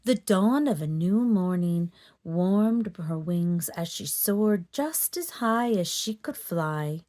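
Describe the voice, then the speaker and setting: A woman storyteller narrating a children's book in an expressive, dramatic read-aloud manner. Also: lowish voice, slow. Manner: dramatic, animated delivery for children.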